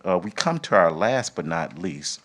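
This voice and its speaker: A man's voice: sits low, lively intonation, normal speed, fairly bright tone. A man speaking informally to an audience as the host of an event.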